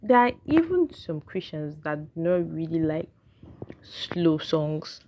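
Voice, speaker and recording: A woman's voice: deep voice, very animated, slow pace, background noise. A woman hosting her channel, introducing a review of comments in a chatty way. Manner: chatty, with fillers. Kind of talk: casual vlog intro.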